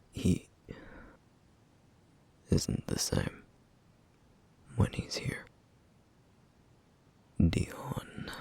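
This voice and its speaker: A man playing a brooding, protective flame demon in an ASMR roleplay, speaking in a grave, breathy, gravelly near-whisper with deliberate pauses. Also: darkish tone. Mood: grave, worried. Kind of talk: ASMR roleplay, intimate scripted monologue.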